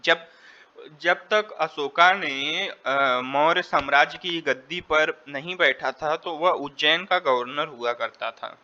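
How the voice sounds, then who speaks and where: Indian male quiz tutor, slightly high voice, explanatory and repetitive, lo-fi sound. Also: takes it slowly, pushed and bright. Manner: repeats and rephrases, switches between Hindi and English. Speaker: exam tutor. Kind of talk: quiz lesson, reading questions and explaining answers.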